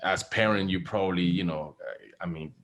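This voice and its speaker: Middle-aged guy hosting a podcast, lowish voice, keeps repeating himself and restarting sentences. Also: a bit bright, soft background music. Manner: halting, repeats words, many restarts and laughs. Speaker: podcast host. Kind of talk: podcast interview.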